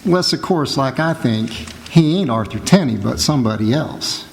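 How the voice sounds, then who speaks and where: A man's voice: mid-range voice, lively intonation, gravelly. An actor playing a folksy, wry country man with ungrammatical speech in a radio drama. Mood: wry, reasoning. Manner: folksy, ungrammatical, deliberate reasoning. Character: folksy, wry rustic witness doubting the charge. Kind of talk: 1940s radio drama acting. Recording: live stage, hall reverb, noise.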